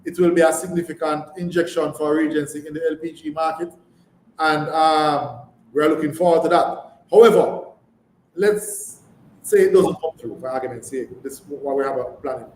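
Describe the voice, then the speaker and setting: A man's voice: on the high side, fairly even intonation, moderate pace, a little mellow. Echoey Jamaican man, company executive. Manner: emphatic, repeats words and phrases. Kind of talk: live earnings call answers. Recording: echoey room, background noise.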